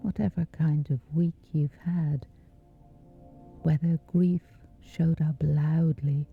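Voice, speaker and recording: Very slow, breathy low-voiced woman. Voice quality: soft, breathy, whispery. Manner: gentle, soothing, measured with long pauses. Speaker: guided meditation host. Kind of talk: slow guided sleep meditation. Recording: dull muffled audio under music. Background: music.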